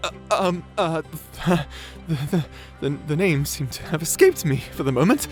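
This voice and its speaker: Shy young male wizard acted by a man, high voice, nerdy, stammering, eagerly polite, fantasy roleplay, music behind. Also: moderate pace, lightly acted. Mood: flustered, apologetic. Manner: apologetic, bashful, awkward. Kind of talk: fantasy audio roleplay acting.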